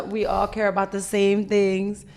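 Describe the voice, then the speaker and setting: A woman's voice: medium pitch, monotone, takes it slowly, darkish tone. A woman from Senegal who grew up partly in the Bronx, giving a casual, earnest and reflective radio interview as a musician in a studio. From Senegal, then the Bronx, USA.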